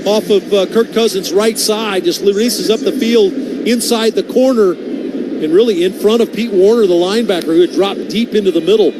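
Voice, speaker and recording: A high-pitched American man works as a football colour analyst, breaking plays down in technical detail. Stadium noise is clearly audible behind him. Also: moderate pace.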